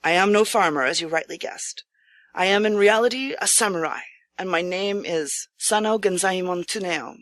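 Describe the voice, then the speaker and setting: A woman's voice: fairly low pitch, normal speed, a bit bright, no echo, close to an everyday voice. A woman voicing an earnest, courteous, impoverished samurai living as a humble farmer, in formal old-fashioned speech for an audiobook. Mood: reluctant candor. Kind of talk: audiobook character acting.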